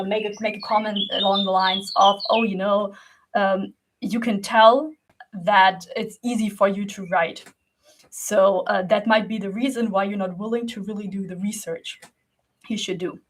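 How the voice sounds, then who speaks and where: A woman's voice: medium pitch, low-quality recording. A young woman from Germany whose first language is Russian, talking casually to camera as a creative coach, rambling with many fillers and restarts and laughing often.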